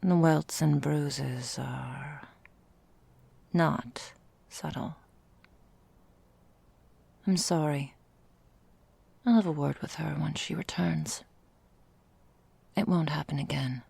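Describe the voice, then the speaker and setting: Woman doing a tenderly menacing vampire mistress for asmr, super slow breathy whisper, lots of crackly fry. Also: low-pitched, warm tone, no room sound, full character voice. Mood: concerned. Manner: slow, velvety, formal old-fashioned phrasing. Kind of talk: intimate ASMR roleplay.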